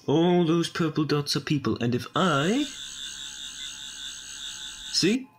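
A man playing an ancient, quick-witted time traveller in an amateur audio drama. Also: a little high, lively intonation, background noise. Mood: brisk, wry.

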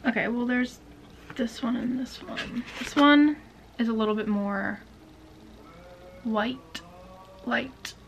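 A woman in her twenties chatting casually to camera in a small bedroom, indecisive and drifting into soft whispers. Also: on the high side, steady tone, slow, gravelly, pauses often, over music, poor audio. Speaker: beauty vlogger. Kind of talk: casual chat.

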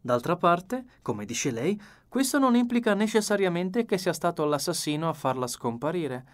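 Man voicing a higher-pitched, shrewd little foreign detective. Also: sing-song, normal speed. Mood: approving. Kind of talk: acted audiobook dialogue.

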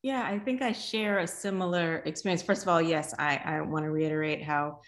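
A woman speaking as a panelist on a video call, in a relaxed and gracious manner. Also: deep voice, a little fast. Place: sunny home room. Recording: roomy laptop audio.

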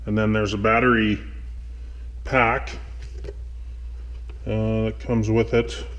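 A man rambling hesitantly as he thinks aloud in a casual, unscripted gear walkthrough. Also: lowish voice. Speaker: motovlogger host. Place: indoors in a garage workshop.

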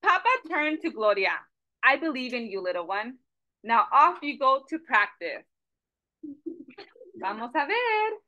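A young woman reading a picture book aloud to children on a video call, with a lively questioning manner. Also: sits high, measured pace, pauses often, highs cut off. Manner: animated, asks children questions, switches between English and Spanish. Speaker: storytime reader. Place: small office with a Mexico flag poster. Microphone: video call webcam.

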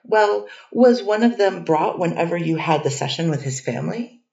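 A woman's voice: on the low side, expressive, moderate pace, vocal fry, a little mellow, dull audio. Hedging woman questioning a witness, echoey. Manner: hesitant, hedging questions with many restarts. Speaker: defense attorney. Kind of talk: courtroom questioning. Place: courtroom. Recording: distant echoey courtroom audio.

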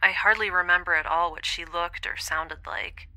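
Woman acting bright-voiced, introspective young space loner. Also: fairly low pitch, fairly even intonation, moderate pace, dry recording. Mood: wistful. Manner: hesitant, introspective, often dropping toward a whisper. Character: lonely, wistful young woman adrift in space. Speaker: podcast audio drama lead. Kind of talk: intimate audio diary monologue.

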